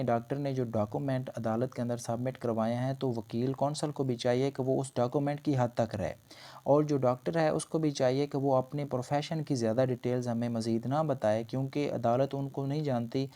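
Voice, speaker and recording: A man speaks in a dark, mellow voice at an average pitch. He lectures on law in an explanatory way, often restating each point in other words. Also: fairly even intonation, moderate pace. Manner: explanatory, repeats and rephrases points. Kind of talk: lecture explaining legal points in Urdu mixed with English terms.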